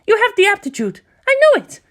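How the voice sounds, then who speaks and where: A woman's voice: extremely high pitch, wildly swooping pitch, a little fast, a bit bright, voice pushed up, heavily acted. A woman voicing a stern, blunt female monk teacher in a storybook reading. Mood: stern, suspicious.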